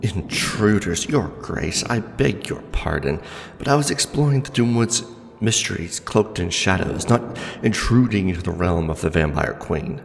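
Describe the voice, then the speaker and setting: A man playing a deep-voiced, deferential necromancer. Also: lively intonation, creaky. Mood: respectful protest. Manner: formal, pleading, halting. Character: nervous, deferential young necromancer graduate. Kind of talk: fantasy roleplay audio drama, ASMR. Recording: long hall reverb, faint music. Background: faint background music.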